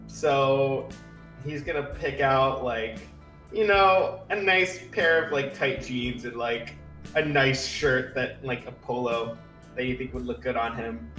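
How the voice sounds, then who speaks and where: A man's voice: mid-range voice, slow, a bit bright. A man playing a tabletop roleplaying game, casually describing what his character does as he thinks aloud. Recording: roomy echo, music underneath.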